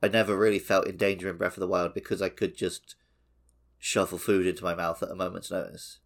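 A man's voice: deep voice, flat delivery, brisk, vocal fry. A man co-hosting a podcast, chatting casually about video games. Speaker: podcast co-host. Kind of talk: casual two-man video game discussion.

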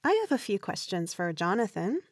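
A woman co-hosting a podcast, putting questions to guests in a measured way. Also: mid-range voice, big pitch swings, dry recording, clean studio sound. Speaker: podcast co-host, interviewer.

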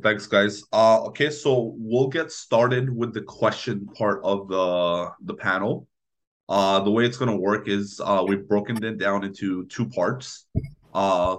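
A man, around his thirties, moderating an informal panel discussion as its host. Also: low voice.

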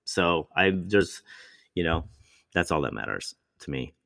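A man's voice: low voice, big pitch swings, unhurried, creaky, fairly bright tone, no room sound, studio quality. A male actor telling long, rambling stories in casual chat, often restarting and quoting himself. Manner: rambling, many restarts and quoted asides.

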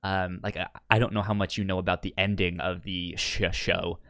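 A man with a stutter chatting casually as a podcast co-host, explaining things haltingly with repeated sounds and restarts. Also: low voice, flat delivery, a bit bright, no echo, studio quality. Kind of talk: casual chat recapping sitcom episodes.

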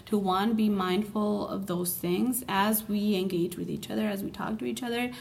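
Woman, animated and emphatic, casual discussion, medium pitch, faint room noise. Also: gravelly. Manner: animated, emphatic, quick to laugh. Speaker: university staff member.

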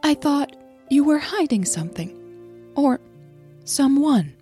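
A narrator putting on a wounded, earnest woman for a romantic audio drama. Her pitch sits well above the storyteller's, her delivery is slow and searching, and soft music plays along. She sounds stern yet tender. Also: animated. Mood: hesitant, upset. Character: Leah, hurt, stern but tender young woman.

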